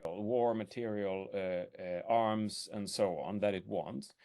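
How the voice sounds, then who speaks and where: Swedish guy, kinda low voice, halting, chatting politics as a guest. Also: dark tone. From Sweden. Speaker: interview guest. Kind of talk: spontaneous political discussion.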